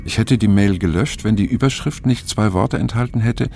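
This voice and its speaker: An actor playing a brooding middle-aged diarist in an audio drama, telling his story in a sober, reflective, measured way. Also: lowish voice, gravelly, darkish tone, a little hiss or hum. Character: brooding man past middle age, haunted first-person diarist. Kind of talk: literary audio drama monologue. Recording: music behind the voice. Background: music.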